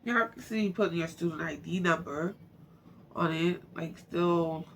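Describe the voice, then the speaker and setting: Woman reading out loud, kinda creaky voice, trips on words n talks to herself, bit of background noise. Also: on the low side, moderate pace. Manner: informal, musing to self, stumbles over words. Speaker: amateur book narrator. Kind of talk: reading aloud with off-the-cuff chatty asides.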